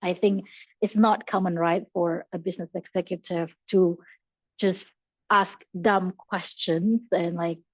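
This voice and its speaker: A woman's voice: fairly low pitch, fairly even intonation, unhurried, slightly rough, no room sound. A young woman from Indonesia, a podcast guest speaking over a video call, answering thoughtfully with frequent fillers and restarts. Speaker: podcast guest, learning and development professional. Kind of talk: casual interview answers. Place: bright room with large windows. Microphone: headphones on a video call. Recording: dull, lo-fi video call audio.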